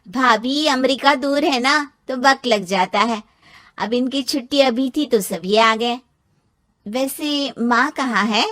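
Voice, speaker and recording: A woman voicing a confident young sister-in-law with a needling manner in an animated moral story. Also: a little high, slow, no echo. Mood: chirpy, teasing.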